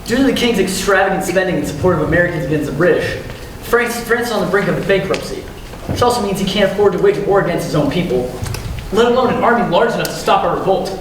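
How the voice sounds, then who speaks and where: A young man playing a measured, well-informed former army officer in a student stage play. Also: high-pitched, lively intonation. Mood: measured, informative. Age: young adult. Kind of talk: high school stage play acting. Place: school theatre stage, distant microphone. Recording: very echoey stage, distant, clear noise.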